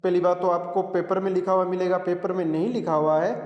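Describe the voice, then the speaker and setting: High male voice, Indian accent, native Hindi speaker, English teacher, didactic, repeats phrases piece by piece, long room reverb. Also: flat delivery, darkish tone. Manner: didactic, repeats phrases slowly and deliberately word by word. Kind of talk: bilingual classroom lesson, reading English phrases and explaining them.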